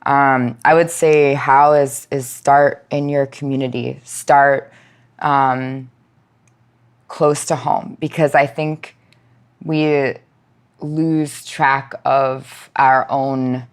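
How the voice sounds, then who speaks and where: A woman speaking as a podcast guest, giving thoughtful conversational answers and pausing mid-thought as she reflects. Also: a bass voice, little pitch movement, smooth. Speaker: podcast guest, nonprofit outreach and education manager.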